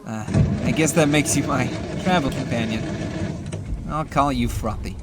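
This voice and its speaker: A man's voice: mid-range voice, normal speed, very noisy, natural voice. A man voicing a glib, sarcastic young bootlegger cat in a comic dub, in a theatrical manner. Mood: sardonic. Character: cheeky, chatty young male bootlegger cat. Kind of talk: comic dub character acting.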